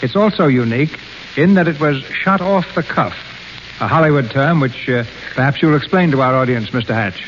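A man hosting an old radio show with a smooth, formal announcer's delivery. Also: mid-range voice, moderate pace. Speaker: radio host. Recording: dull old radio broadcast with faint hiss.

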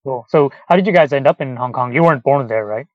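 Male podcast host, quick questions, muffled dry call sound. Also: mid-range voice, no echo. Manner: quick, curious questions with short backchannels. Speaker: podcast interviewer. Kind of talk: casual interview on a call.

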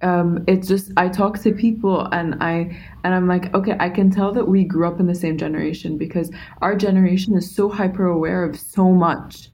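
Young woman, lowish mellow voice, thinking out loud, lots of likes and ums, faint noise behind. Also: steady tone, moderate pace, rough recording. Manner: reflective, thinks aloud with many fillers. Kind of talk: casual podcast chat.

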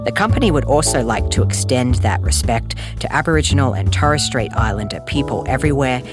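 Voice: mid-range voice, animated, fairly quick. A podcast host reading scripted credits and announcements in a friendly manner, addressing listeners directly. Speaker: podcast host and series creator. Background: music.

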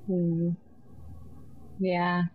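Lower-voiced Puerto Rican woman, dull audio. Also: completely flat delivery, drawn-out words, slight background noise. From Puerto Rico. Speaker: podcast guest, herbalist and women's health educator. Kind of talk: reflective personal storytelling.

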